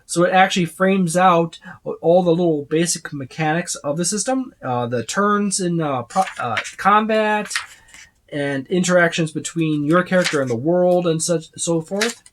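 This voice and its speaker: A man's voice: high-pitched, measured pace, a little hiss or hum. A man giving a casual, unscripted walkthrough as a reviewer, rambling and listing items as he browses. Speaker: tabletop game book reviewer.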